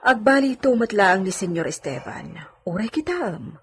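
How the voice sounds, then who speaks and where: Radio drama maid and confidante, female, played by an actress: medium pitch, a bit husky, frank, concerned. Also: sing-song, moderate pace, heavily acted. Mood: reassuring. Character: concerned, outspoken female housemaid, confidante to her mistress. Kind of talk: Ilocano radio drama acting.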